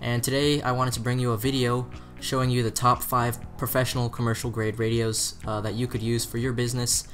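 A man's voice: mid-range voice, flat delivery, brisk, few pauses, fairly bright tone. A man with an American accent presenting a scripted product review as a shop's presenter. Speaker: presenter from a two-way radio shop. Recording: music behind the voice. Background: guitar music.

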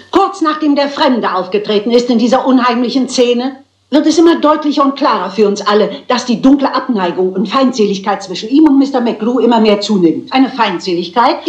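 An actress in a German crime drama playing a prim, plucky older spinster sleuth, speaking in a theatrical, articulate, dignified manner. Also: female voice, fairly high pitch, reverberant, natural voice. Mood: eager, polite.

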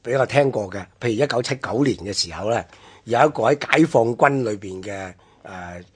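A man with a Hong Kong Cantonese accent explaining history on a radio programme in a halting, discursive way. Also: fairly low pitch, animated, breathy edge. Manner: halting, discursive, with drawn-out phrase-ending particles. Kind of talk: unscripted explanatory history talk.

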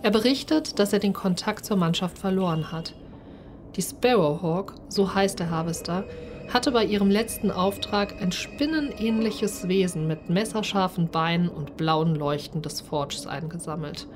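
Expressive woman narrating over noisy background. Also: lowish voice, normal speed. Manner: expressive, acts out the character's speech. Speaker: narrator and player of a solo role-playing game. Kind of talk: first-person dramatised story narration.

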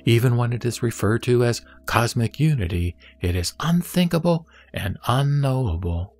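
A man playing the Buddha as a serene teacher, reciting scripture in a measured, solemn audio drama style. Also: mid-range voice, animated, a little mellow. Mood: serene, instructive. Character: the Buddha, serene male teacher addressing his disciple. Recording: music behind the voice.

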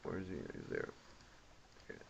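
A man giving casual gameplay commentary, reacting aloud and muttering to himself as he plays. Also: moderate pace. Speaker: gamer. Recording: muffled lo-fi mic, background noise.

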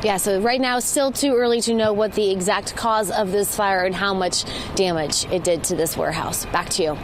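A woman in her thirties reporting live breaking news in a measured broadcast delivery, speaking into a handheld microphone outdoors. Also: mid-range voice. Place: outdoors on a city street at night. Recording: outdoor ambient street noise.

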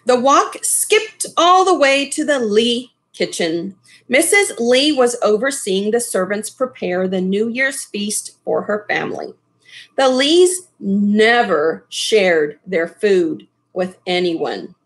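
Unhurried, expressive woman reading a storybook. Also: medium pitch. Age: middle-aged. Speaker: storybook reader. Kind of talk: children's read-aloud with asides to listeners. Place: small furnished room at home.

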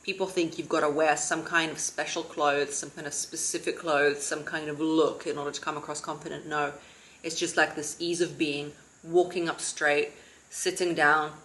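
Calm low-voiced woman vlogging from a sofa. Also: moderate pace, rough recording. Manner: calm, self-described monotone, steady delivery. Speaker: vlogger and confidence coach. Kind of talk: casual advice vlog to camera. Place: living room, seated on a sofa.

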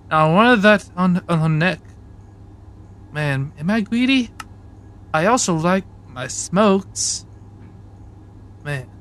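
A somewhat high male voice plays a comic personification of greed acted by a man in a video game dub. He sounds like an improviser, covetous and torn about it. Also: a bit bright, heavily acted.

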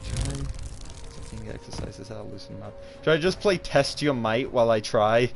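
A man's voice: mid-range voice, moderate pace, gravelly. A man chatting casually on a live game stream in a reluctant, self-deprecating way. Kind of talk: casual live-stream chat while gaming. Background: fighting game music.

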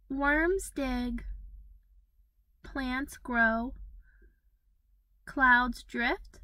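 A woman leading a guided meditation in a gentle, soothing manner, with pauses between phrases. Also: high-pitched, no echo, rough recording.

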